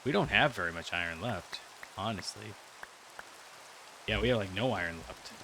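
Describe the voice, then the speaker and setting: A man's voice: on the low side, moderate pace, noisy background. A man giving casual, rambling commentary while playing a video game, thinking aloud with many restarts. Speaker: gaming video creator. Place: cold room with a heater.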